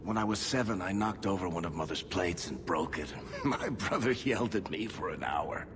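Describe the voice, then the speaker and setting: A man's voice: sits low, expressive, normal speed, noisy background. A man voicing a wry, loyal dwarf rogue and storyteller in a video game cutscene, with a dry, sardonic manner. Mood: wistful, reflective.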